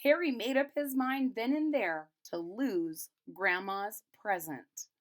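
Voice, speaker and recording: A woman reading a children's storybook aloud, pausing to retell and explain it simply for young listeners. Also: on the high side. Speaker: storybook reader. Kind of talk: children's picture-book read-aloud with retelling in plain words.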